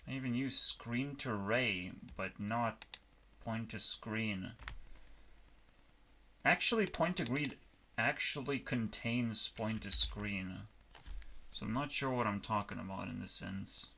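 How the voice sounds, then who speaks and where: A man with a bright, forceful voice talks slowly and haltingly, musing aloud and letting thoughts trail off. It is loose, casual streamer chat, recorded with noticeable background noise and computer clicks. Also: fairly low pitch, creaky. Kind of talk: rambling casual chat while coding.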